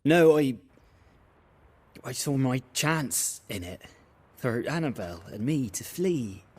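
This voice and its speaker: An actor playing a grief-haunted village fisherman in a fantasy video game, with a rustic, halting, emotional delivery. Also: mid-range voice, lively intonation, darkish tone, husky. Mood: distraught. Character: anguished village fisherman, a plain man haunted by lost love. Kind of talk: dramatic video game voice acting.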